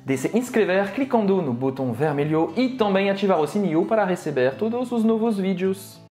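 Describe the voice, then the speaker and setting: A man's voice: high voice, animated, fairly quick, darkish tone, faint music behind. A Frenchman with a French accent speaking Portuguese, co-hosting a casual language lesson with short, agreeing replies. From France. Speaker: French teacher, co-host.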